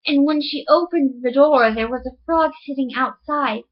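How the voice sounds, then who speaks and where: High-voiced woman reading a fairy tale. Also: normal speed, dull audio. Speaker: storyteller.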